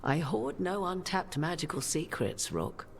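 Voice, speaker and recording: A woman playing a solemn human sorceress in a video game, speaking in measured, archaic, formal phrases. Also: fairly low pitch, big pitch swings, vocal fry, exaggerated voice. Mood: grave, formal. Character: solemn human sorceress bearing an ancient goddess's memories. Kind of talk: dramatic video game dialogue. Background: prominent music.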